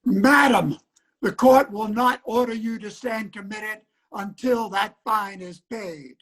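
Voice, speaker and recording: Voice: mid-range voice, steady tone, takes it slowly, fairly bright tone. Casting: an actor as an impatient old-time trial judge, formal, commanding, reader's theater. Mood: exasperated, commanding. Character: stern, impatient 19th-century judge presiding at a trial. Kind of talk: reader's theater courtroom drama.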